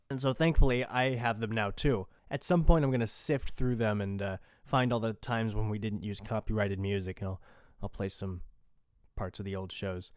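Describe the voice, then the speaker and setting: Male podcast host, medium pitch, crisp clear tone, dry studio-tight sound, loose and informal unscripted delivery. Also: no echo. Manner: informal, rambling, mildly profane. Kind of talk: casual unscripted podcast talk.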